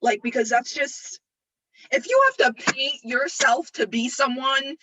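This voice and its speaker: A young woman with a bright, sharp-edged voice joins a casual student discussion. Her phrasing is loose and halting, yet her opinions come out emphatically. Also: sits high, low-quality recording. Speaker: student group member.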